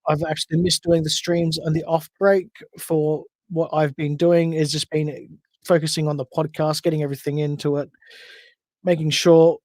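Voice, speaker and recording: A man's voice: a little high, a little mellow, no room sound. An Australian man hosting a solo podcast livestream, chatting casually and rambling with frequent self-corrections. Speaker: podcast host.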